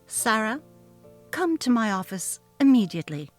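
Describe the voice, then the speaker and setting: A woman's voice: fairly high pitch, big pitch swings, moderate pace, soft background music. A woman voicing a haughty, narcissistic female office manager who talks down to her staff, in a dramatically read story. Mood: cold, accusing. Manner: haughty, cutting, demanding. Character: snobby, imperious, narcissistic female office manager, cold and bullying. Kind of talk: dramatic reading of a text-message story.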